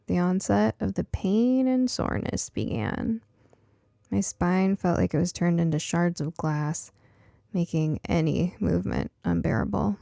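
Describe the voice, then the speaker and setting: A woman voicing a curious, science-minded girl who narrates her own story in a conversational, expressive storybook style. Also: medium pitch, vocal fry, no echo, clean studio sound, natural voice. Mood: reflective. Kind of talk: first-person audiobook narration.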